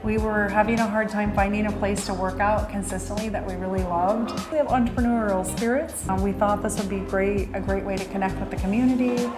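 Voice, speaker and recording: Casting: upbeat woman studio owner interview, roomy sound, loud music bed. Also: mid-range voice, fairly even intonation, brisk, hardly any pauses. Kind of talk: interview testimonial.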